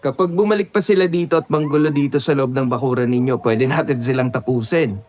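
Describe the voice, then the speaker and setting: A man's voice: medium pitch, fast, darkish tone, natural voice. A man playing a brave, respectful young farmhand and fighter in an audio horror drama, polite but resolute. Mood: determined.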